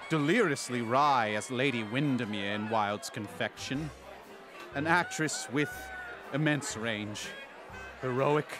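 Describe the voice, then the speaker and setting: An actor playing an effusive theater critic who declaims his praise in a gushing way. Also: a little high.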